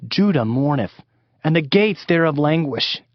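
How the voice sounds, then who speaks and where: An actor playing a grave, lamenting Hebrew prophet in a solemn, declamatory dramatized Bible reading. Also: male voice, on the high side, expressive, measured pace, no room sound. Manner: solemn, declamatory, archaic phrasing. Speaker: dramatized scripture reader. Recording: dull audiobook sound with music underneath.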